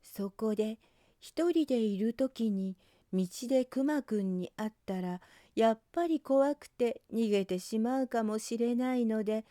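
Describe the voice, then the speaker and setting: Female picture-book narrator: very mellow, leisurely, gentle read-aloud, close dry sound. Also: a little high, no room sound. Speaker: storyteller. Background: background music.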